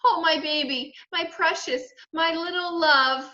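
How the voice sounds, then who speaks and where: A woman's voice: high voice, very animated, normal speed, pushed and bright, echoey room, muffled recording, voice pushed up, full character voice. A woman voicing an emotive storybook mother, doting but stern and easily upset. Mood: cooing concern.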